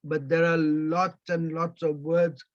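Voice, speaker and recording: A man whose first language is Persian answers audience questions on a video call, slowly and with many pauses. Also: on the high side, little pitch movement. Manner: slow, halting, with many pauses. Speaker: invited speaker. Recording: dull lo-fi video call audio.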